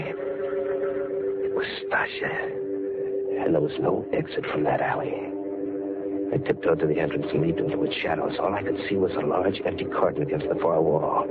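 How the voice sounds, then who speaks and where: A man's voice: extremely low pitch, very noisy. An actor playing a tormented man who narrates his descent into murder in a brooding, dramatic old-time radio style. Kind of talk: old-time radio thriller acting, first-person narration. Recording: muffled 1940s radio recording, loud music behind. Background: loud dramatic music.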